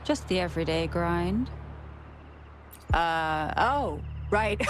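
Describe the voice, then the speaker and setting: A woman's voice: on the low side, animated, drawn-out words, a little hiss or hum. A woman voicing a tough, evasive gang member in a video game, with an offhand, guarded way of talking. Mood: evasive, casual. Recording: loud game music underneath.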